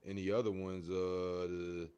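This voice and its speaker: A man in his twenties with a low, deep voice talks as a guest on a sports podcast. He is casual and agreeable, using slang and pausing to think through his points. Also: level tone, dark tone, highs cut off, slight background noise. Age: 20s. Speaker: podcast guest, American football player.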